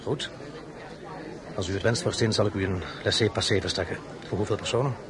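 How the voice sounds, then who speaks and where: A man's voice: fairly low pitch, fairly quick, close to an everyday voice. Casting: male actor as imperious, sardonic French emperor for radio drama; pompous, commanding, crowd murmuring behind. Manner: pompous, sardonic, theatrical shifts between address and aside.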